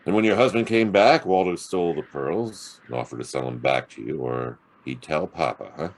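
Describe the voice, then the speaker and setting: An actor playing a hard-boiled, wisecracking private detective in a noir radio drama, with a gravelly, husky voice and a sardonic drawl. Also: male voice, a bass voice, normal speed. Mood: probing, wry. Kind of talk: noir radio drama acting and first-person narration.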